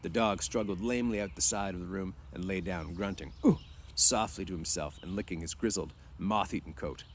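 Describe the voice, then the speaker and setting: A man narrating a story in an informal read-aloud, often clearing his throat. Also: low voice, fairly quick, hardly any pauses, a little hiss or hum. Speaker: reader.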